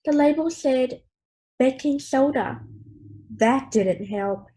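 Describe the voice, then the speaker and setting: A female reader telling a children's story aloud in an expressive read-aloud style. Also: sits high, takes it slowly.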